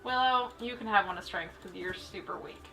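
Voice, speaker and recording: A woman's voice: medium pitch, a little fast, creaky, sharp tone, soft background music, low-quality recording. A woman hosting a gaming video with casual commentary, bantering with the characters in an exasperated way. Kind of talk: casual let's play commentary.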